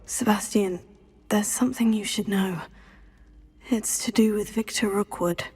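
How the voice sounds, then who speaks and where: An actress playing a young witch student who speaks softly, half-whispering with sympathy. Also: mid-range voice, steady tone, a little slow, husky. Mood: sympathetic. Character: young female witch student, soft-spoken. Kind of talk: video game cutscene acting.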